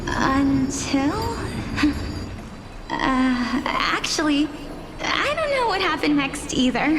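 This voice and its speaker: A woman playing a gentle, playful young scholar who tells fables in a measured, teasing way in a video game. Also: high voice, big pitch swings, noisy background, heavily acted. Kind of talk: dramatic storytelling, scripted game dialogue. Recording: music behind the voice.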